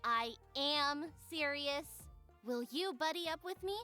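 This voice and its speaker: A woman's voice: high-pitched, measured pace, pushed and bright. A woman voicing an awkward, scheming middle-school magical girl in a visual novel, with music behind her. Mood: awkward, hesitant.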